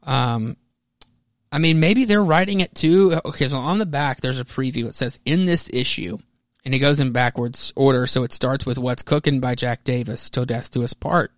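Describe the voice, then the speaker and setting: A man hosting a solo podcast in a casual, rambling, halting way, often correcting himself as he goes. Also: mid-range voice, dry recording, studio quality. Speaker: podcast host.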